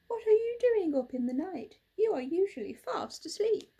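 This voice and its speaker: A woman voicing a kind little female owl in a storybook, gentle and friendly. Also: extremely high pitch, very animated, moderate pace, gravelly, dull audio, pitched above the performer's own voice, exaggerated voice.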